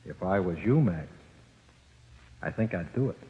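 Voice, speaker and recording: An actor playing the eerie, taunting ghost of an executed convict with slangy speech, in 1940s radio style. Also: male voice, deep voice, lively intonation. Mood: sly, taunting. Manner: slow, uneducated slangy speech. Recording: echoing, muffled old radio recording.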